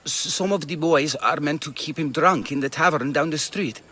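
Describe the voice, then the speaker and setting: An actor playing a timid, obedient low-ranking Athenian guard in a video game, speaking hesitantly. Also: male voice, normal speed, bright, forceful tone, husky. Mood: scared.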